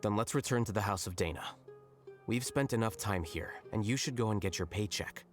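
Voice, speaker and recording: A man plays an aloof young scholar in a game, speaking low and measured. He is articulate, logical and detached, with soft music underneath. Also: natural voice. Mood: matter-of-fact. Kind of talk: video game voice acting.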